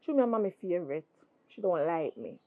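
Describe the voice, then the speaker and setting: A woman's voice: sing-song, a little fast, no echo. Woman doing a sulky Jamaican daughter, tinny. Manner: sullen, low and grumbling. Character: sulky daughter jealous of her favored sister. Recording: dry tinny phone-like sound.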